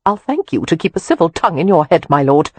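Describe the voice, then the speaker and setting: Narrator doing a gruff prim governess. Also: mid-range voice, expressive, normal speed, slight background noise, lightly acted. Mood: stern. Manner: gruff, clipped. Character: prim, stern young governess. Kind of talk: audiobook character voice.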